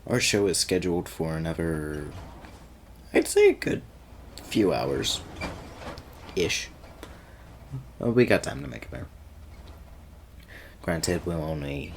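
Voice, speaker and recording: A man playing an easygoing, teasing young guy sweet on his friend, talking casually and haltingly in an audio roleplay. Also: low voice, wildly swooping pitch, creaky, slight background noise, full character voice. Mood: relaxed, friendly. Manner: halting and stammering, chuckling, casual slangy speech.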